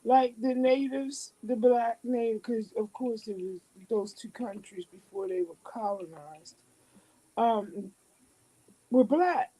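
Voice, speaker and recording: A woman in a casual discussion, asking a long, deliberate question. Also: on the high side, mellow, poor audio.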